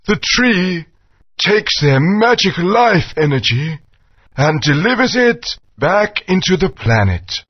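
A man's voice: sits high, slightly rough, no room sound, full character voice. A man voicing a wise, elderly dinosaur guardian who speaks solemnly and gives warnings. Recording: dull, dry lo-fi game audio.

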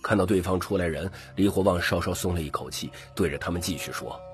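A man's voice: sits low, quick pace, soft background music. A man narrating scripted fantasy fiction dramatically as an audiobook reader.

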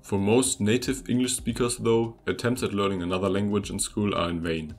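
A young man whose first language is German reads a scripted lesson in a fairly low voice, chatty and upbeat, talking straight to his viewers. A quiet guitar track plays softly behind him throughout. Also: steady tone, a little fast. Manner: upbeat, conversational, addresses viewers directly. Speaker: language-learning video host. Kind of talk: scripted voice-over narration. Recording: voice-over with faint music behind.

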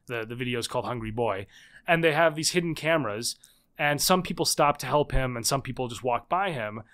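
A man in his thirties, a book author, explaining his ideas in a podcast interview with lively restarts. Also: mid-range voice. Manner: animated, fast explaining with restarts. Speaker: guest author.

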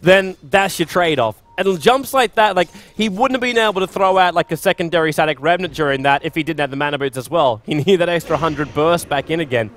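A young man calling esports play-by-play in a rapid, excitable way. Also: on the high side.

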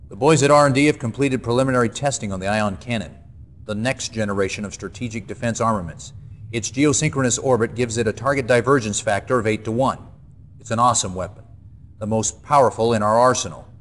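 An actor playing a stern, determined military general in his forties with an American accent, giving a measured, emphatic mission briefing for a game cutscene. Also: medium pitch, slight background noise, close to an everyday voice. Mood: confident.

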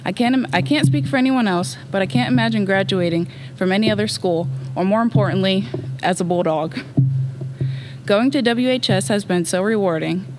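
A woman's voice: mid-range voice, brisk, noisy background. A reserved teenage girl reading her graduation speech at a podium in an empty auditorium. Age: late teens. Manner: soft-spoken, reserved. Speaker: student speaker. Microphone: podium mic.

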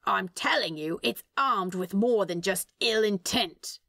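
A woman's voice: mid-range voice, expressive, measured pace, sharp tone, no room sound, clean studio sound, full character voice. A woman voicing a snappy, exasperated, paranoid male demon in a storybook reading. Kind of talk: storybook character voice in fan fiction reading.

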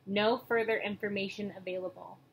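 A woman's voice: mid-range voice, steady tone, a little fast, gravelly, husky, voice pushed down. A young woman voicing a matter-of-fact electronic encyclopedia in a storybook, informational in manner. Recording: noisy home webcam recording.

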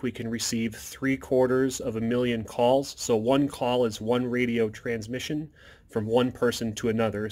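Man giving a casual tech walkthrough. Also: medium pitch. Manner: explanatory, informal. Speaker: hobbyist presenter. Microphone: handheld phone behind the camera.

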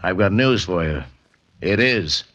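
An actor playing a gruff, wisecracking police lieutenant in a 1940s radio mystery, blunt and sardonic. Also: male voice, sits low, animated, a little slow, very noisy. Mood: blunt, assured.

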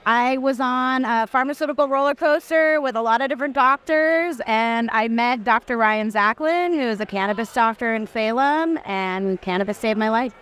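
A woman's voice: on the high side, normal speed, hardly any pauses, a bit bright, smooth. A woman in her thirties, a guest at an expo booth, speaking earnestly and with conviction. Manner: earnest, advocating. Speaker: guest, cannabis ambassador. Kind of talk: interview answers.

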